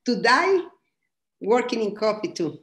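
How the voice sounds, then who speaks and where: Slow-spoken woman coffee producer, roomy audio. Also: fairly high pitch, sing-song, fairly bright tone. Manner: unhurried non-native English. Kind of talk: introducing herself in an interview. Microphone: video call.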